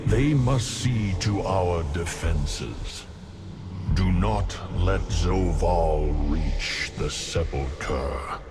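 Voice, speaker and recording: Very deep, slow ancient death lord. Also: male voice, heavy background noise, heavily acted. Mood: grave foreboding. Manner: grave, measured, portentous. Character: ancient solemn immortal male lord of death. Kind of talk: video game cinematic acting.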